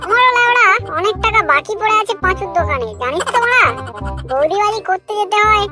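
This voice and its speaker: Man voicing squeaky, cheeky young cartoon village lad. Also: expressive, fast talker. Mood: bantering. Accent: West Bengal. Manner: bantering, foul-mouthed. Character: cheeky, boozy young village men, slangy schemers. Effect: pitch-raised cartoon voice. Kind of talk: crude comedy cartoon dialogue. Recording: loud music over the voice.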